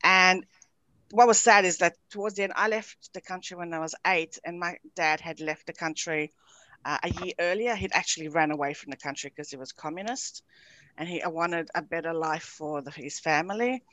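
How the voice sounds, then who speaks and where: A woman's voice: on the low side, moderate pace, pushed and bright, dry recording. A woman from Romania, an author being interviewed on a podcast, chatting with lots of fillers and frequent laughter. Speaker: author guest.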